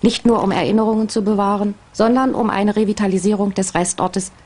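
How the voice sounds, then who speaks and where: A woman's voice: mid-range voice, steady tone, brisk, darkish tone. A woman reading scripted documentary narration in a formal, informative way. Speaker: narrator for a local association.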